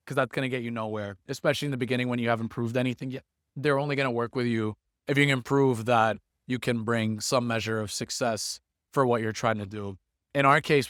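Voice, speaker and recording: A man being interviewed as a startup founder, giving earnest, steady advice. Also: mid-range voice, dry recording, studio quality. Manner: earnest, steady flow of advice, often quick. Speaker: music startup founder, guest. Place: conference venue.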